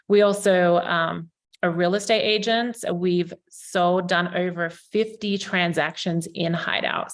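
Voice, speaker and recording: A woman in her forties with an Australian accent, a developer giving an upbeat, informal presentation to a town council over a video call, with plenty of ums. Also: on the low side. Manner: upbeat, selling, frequent ums.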